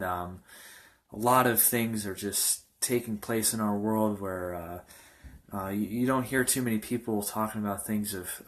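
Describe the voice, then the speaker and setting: A young man in his early twenties speaks as a pastor sharing his testimony. His voice sits slightly low. He talks informally and haltingly, wandering loosely from phrase to phrase. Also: fairly bright tone. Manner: halting, loosely rambling delivery. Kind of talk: informal testimony talk to camera.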